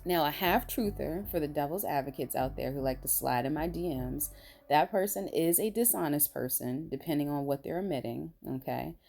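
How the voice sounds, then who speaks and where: A woman vlogger with a raspy voice, sounding tired, talking in a rambling, blunt and emphatic way. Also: deep voice, moderate pace, darkish tone, soft background music. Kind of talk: casual opinion monologue.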